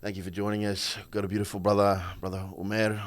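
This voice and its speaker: A man hosting a casual podcast interview, chatty and relaxed, laughing easily. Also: lowish voice, fast talker. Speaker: host.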